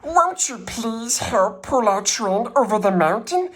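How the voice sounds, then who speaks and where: A man's voice: very animated, unhurried, a bit bright, heavily acted. A man voicing small, needy storybook toys with a strained, theatrical whine. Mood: desperate pleading. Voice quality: raspy, strained high voice. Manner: whining, theatrical pleading. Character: small pleading toy clown and dolls, excitable, needy.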